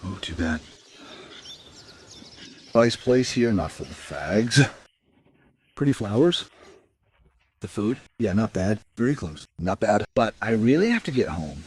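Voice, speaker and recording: A man's voice: fairly low pitch, very animated, unhurried, long pauses, darkish tone, husky, exaggerated voice. A man playing an amiable, confused, wistful elderly care-home resident in a radio play. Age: older.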